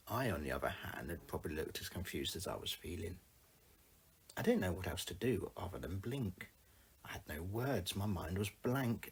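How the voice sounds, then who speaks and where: A man with a husky voice reading his own first-person short story aloud in a single take. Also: low voice.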